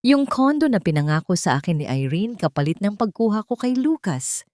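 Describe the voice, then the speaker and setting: A woman's voice: medium pitch, very animated, a little fast, darkish tone, no echo, studio quality, voice pushed up, lightly acted. A woman voicing a greedy, gullible Filipino man, an eager scheming son and husband in an audio story. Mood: insistent.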